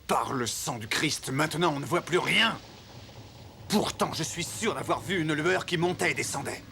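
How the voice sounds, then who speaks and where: A man playing an earnest, devout and commanding admiral explorer in an animated historical cartoon. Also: a little high, moderate pace, gravelly, a little hiss or hum. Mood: excited, insistent.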